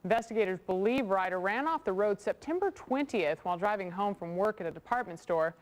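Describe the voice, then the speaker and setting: A woman's voice: medium pitch, expressive, a little fast. A woman news anchor reading national stories from a script on a television newscast.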